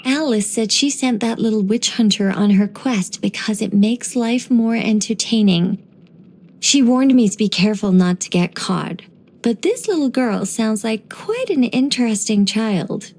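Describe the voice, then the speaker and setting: A woman voicing a gentle, wise young angelic woman in game voice lines, soft and wryly musing. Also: fairly high pitch, animated, measured pace.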